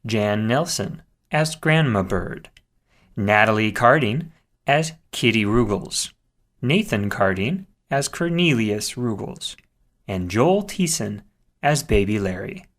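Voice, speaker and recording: A man's voice: on the low side, lively intonation, takes it slowly, a bit bright. A man reading a scripted credits announcement for an audio drama.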